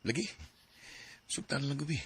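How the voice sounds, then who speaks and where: A man's voice: mid-range voice, normal speed, breathy edge. An actor playing a persistent, pleading young male suitor in a Philippine radio drama.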